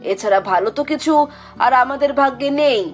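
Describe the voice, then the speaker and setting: A woman's voice: high voice, brisk, soft background music, lightly acted. A woman voicing a scolding, exasperated village wife in a fairy-tale cartoon. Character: nagging, fed-up village wife.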